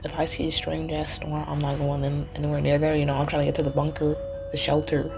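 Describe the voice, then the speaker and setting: A woman's voice: low-pitched, monotone, fast. A woman hosting a casual game playthrough, slangy and joking, talking back to the characters with music behind her. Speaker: gaming channel host. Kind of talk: casual game playthrough commentary, reading dialogue aloud.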